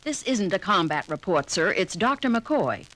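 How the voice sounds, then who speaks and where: A woman's voice: medium pitch, big pitch swings, normal speed, a bit bright. A woman playing a strained starship communications lieutenant in a 1970s science fiction audio drama. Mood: strained, tense. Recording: 1970s drama record with faint music.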